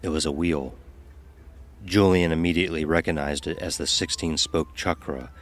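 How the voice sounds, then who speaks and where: A man narrating a scripted dark audio story. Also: very deep voice, steady tone, moderate pace.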